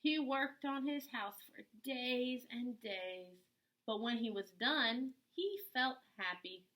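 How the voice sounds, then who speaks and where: A woman reading a children's story aloud in an animated, deliberate way with dramatic emphasis. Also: a little high. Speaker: children's story reader, teacher-style host. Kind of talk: expressive storybook read-aloud for young children.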